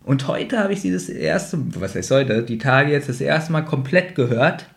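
A man's voice: mid-range voice, animated, moderate pace, gravelly. A man chatting casually as a podcast co-host reviewing radio plays.

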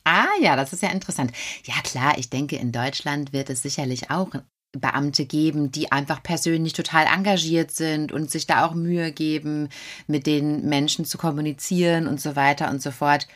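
Casting: woman, deep register, expressive and informal conversational co-host. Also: moderate pace. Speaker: podcast co-host. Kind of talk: casual conversational podcast talk.

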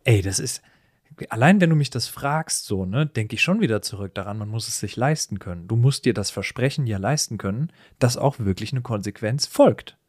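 A man co-hosting a casual, humorous podcast conversation, explaining things in an animated way and often chuckling as he talks. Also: lowish voice, moderate pace, breathy edge, no echo, studio quality. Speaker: podcast co-host.

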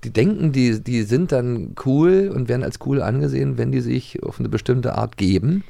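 A man's voice: medium pitch, expressive, moderate pace, creaky, mellow. A middle-aged man co-hosting a podcast about audio dramas, chatting casually, agreeing readily and rambling through his thoughts. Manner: agreeable, rambling, many restarts. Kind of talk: casual chat.

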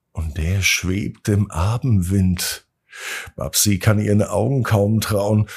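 Low, gravelly male bedtime storyteller. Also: darkish tone. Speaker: bedtime story podcast host.

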